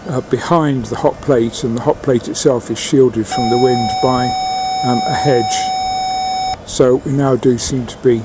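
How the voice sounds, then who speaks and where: A man giving a halting, matter-of-fact commentary on a field experiment, recorded outdoors in a garden. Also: mid-range voice, wildly swooping pitch, low-quality recording. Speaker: amateur radio experimenter. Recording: outdoor wind and ambient noise.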